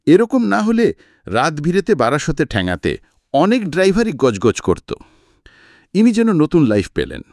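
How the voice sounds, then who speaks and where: A man's voice: on the high side, huge pitch swings, a little slow, pauses often, darkish tone, no room sound, studio quality. A man narrating a detective audiobook expressively, acting out the dialogue as storyteller.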